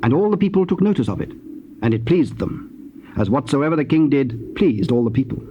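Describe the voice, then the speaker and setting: Male narrator, medium pitch, measured formal Bible narration, faint noise of old cassette. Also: very animated, mellow, highs cut off. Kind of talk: scripted scripture narration. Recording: noisy old cassette tape transfer.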